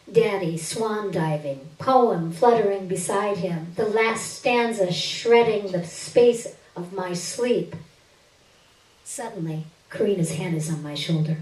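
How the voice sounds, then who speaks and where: A woman's voice: on the low side, a little mellow. Woman reading literary fiction, distant and roomy. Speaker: reader of a short story on stage. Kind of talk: dramatic literary reading, first-person narration. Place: indoor stage, live reading. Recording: roomy stage recording, distant microphone.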